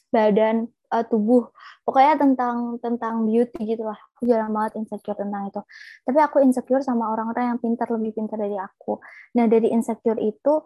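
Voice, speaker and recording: A woman's voice: a little high, warm tone, no echo, poor audio. A young adult woman with an Indonesian accent giving her opinions frankly as a guest in a casual chat. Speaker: discussion guest.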